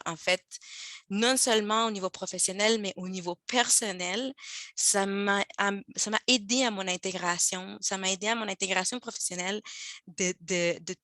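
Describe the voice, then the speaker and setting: A woman with a Mexican accent and Spanish as her first language gives an informal testimonial. Her voice is bright and forward, recorded dry with little room sound. She sounds enthusiastic but halting, often repeating a word before moving on. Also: mid-range voice, no room sound. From Guadalajara, Mexico. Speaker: former mentee giving a testimonial. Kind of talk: informal testimonial in an online talk.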